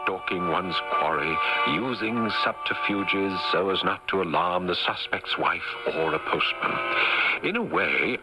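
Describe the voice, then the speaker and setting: An actor playing an earnest, excitable Victorian novelist turned amateur sleuth in an old-time radio drama, with a literary, theatrical and expressive delivery. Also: male voice, sits low, exaggerated voice, poor audio.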